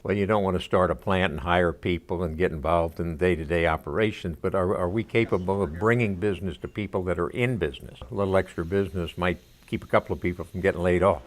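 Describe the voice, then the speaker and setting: A man in his eighties telling a story in a relaxed documentary interview. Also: low-pitched, fast, hardly any pauses. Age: 80s. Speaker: veteran telling the group's story.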